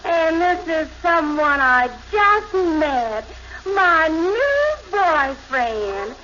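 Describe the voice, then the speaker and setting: A fickle young girlfriend speaks high with big swoops of pitch. It is gushing fifties radio comedy acting on a noisy vintage recording. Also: sing-song, extremely slow, bright, forceful tone, highs cut off, exaggerated voice.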